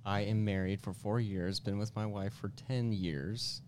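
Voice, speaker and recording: Slow-talking joking young man. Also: on the low side, fairly even intonation, darkish tone, slight background noise. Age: 20s. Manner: joking, bursts into laughter. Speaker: guest coworker. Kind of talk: casual chat.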